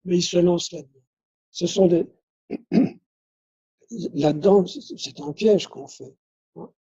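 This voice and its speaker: Husky-voiced man giving a lecture. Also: sits high, slow pace, warm tone, lo-fi sound. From Belgium. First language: Dutch. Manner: restarts phrases, peppers talk with tag questions. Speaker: conference speaker. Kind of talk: lecture at a conference.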